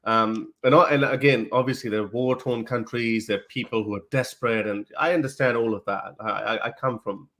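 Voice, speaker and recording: Man, interview host, earnest probing questions, mid-pitched voice. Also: fairly even intonation, fairly quick, hardly any pauses, fairly bright tone. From Pakistan.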